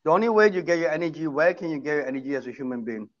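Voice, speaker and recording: A man's voice: fairly high pitch, lively intonation, very fast. Emphatic man in his sixties, lo-fi audio. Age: 60s. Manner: emphatic, repeats himself to make a point. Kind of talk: casual chat. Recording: muffled lo-fi audio.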